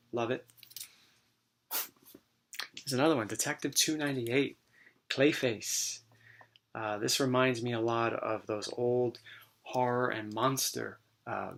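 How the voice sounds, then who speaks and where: A man with a voice worn dry and hoarse by a recent cold. He talks in a loose, easygoing way, full of ums and restarts. The tone is casual show-and-tell. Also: medium pitch. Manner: relaxed, rambling, many fillers. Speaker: comic book collector vlogger.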